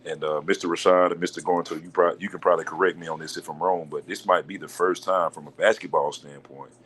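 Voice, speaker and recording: Deep low voice guy, coach talking easy and polite, faint hum behind. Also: steady tone, a bit bright. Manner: measured, polite, says "yes, sir". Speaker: basketball coach. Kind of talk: casual radio show interview.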